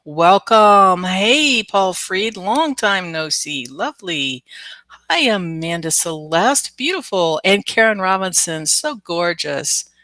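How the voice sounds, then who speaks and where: A woman's voice: on the low side, animated, slow pace, bright, forceful tone, dry recording, studio quality. A woman hosting a live stream, chatting casually with viewers and greeting them by name. She sounds stuffed up and nasal from the flu. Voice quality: nasal, congested. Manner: chatty, greets commenters by name, laughs often. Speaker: live stream host, oracle card reader.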